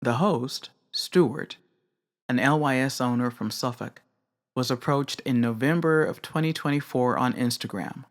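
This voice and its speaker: A woman hosting a craft podcast, giving measured, candid and opinionated commentary on her own. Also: a bass voice. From not British. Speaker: podcast host. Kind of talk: reflective solo commentary.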